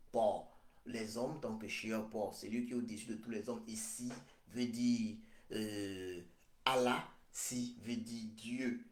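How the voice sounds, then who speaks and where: A man, slowly and hesitantly, circling back over his own phrases. His voice has a gravelly rasp at a middle pitch. The room around him rings with echo. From Cameroon. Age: 40s. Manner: slow, repetitive, hesitant with many pauses and fillers. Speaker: political speaker. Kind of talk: slow prophetic address.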